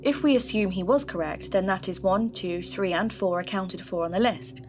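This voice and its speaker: A woman's voice: medium pitch, close to an everyday voice. Podcast drama lead: woman acting determined female investigator, mid-range voice, thoughtful scripted narration over faint music. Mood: reflective, intrigued. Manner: thoughtful, deliberate, intrigued.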